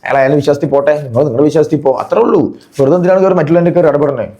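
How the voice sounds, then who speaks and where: A man's voice: a little high, very fast, mellow, dull audio. A man giving chatty, casual reaction commentary as a video host, often checking that the listener follows.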